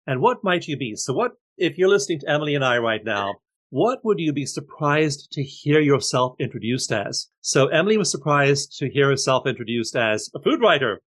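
A man in his sixties hosting, calmly guiding listeners to pause and reflect. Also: mid-range voice. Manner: calm, inviting listeners to pause. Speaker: host. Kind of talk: guided reflection.

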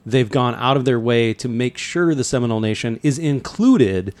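Man, American accent, medium pitch, co-host, casual, careful tentative questioning. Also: expressive, normal speed, darkish tone. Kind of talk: casual interview conversation.

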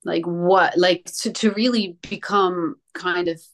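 A woman from the Netherlands whose first language is Dutch, with a low voice. She talks at a slow, unhurried pace in reflective conversation, often pausing to hunt for the right words. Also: steady tone. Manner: hesitant, searching for words.

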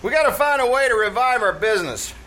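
An actor playing a breezy, wisecracking tavern manager with New slang and mangled grammar in a live old-time radio comedy. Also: male voice, very high-pitched, lively intonation, quick pace, bright, forceful tone, exaggerated voice. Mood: glib. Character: wisecracking, malapropism-prone male manager of a shabby tavern. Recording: live theater stage with background noise.

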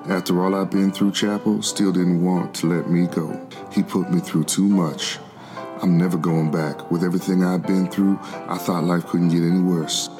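An actor playing a weary, determined man who escaped slavery in the 1700s, narrating his flight in a measured, solemn stage style. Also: deep voice, lightly acted. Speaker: narrator in a gospel oratorio. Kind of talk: dramatic scripted first-person narration.

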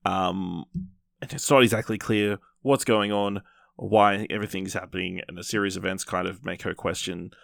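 Low, creaky-voiced man chatting about films. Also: fairly even intonation, normal speed, a bit bright, no room sound, studio quality. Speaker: podcast host. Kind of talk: casual film review chat.

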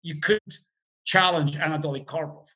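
A man is a guest in a casual interview. His voice sits a bit high, and he keeps stopping, restarting and filling gaps with little sounds. A dry humor runs under his answers. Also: fairly even intonation, bright, forceful tone. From Azerbaijan. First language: Russian. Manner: halting, many fillers and restarts, dry humor.

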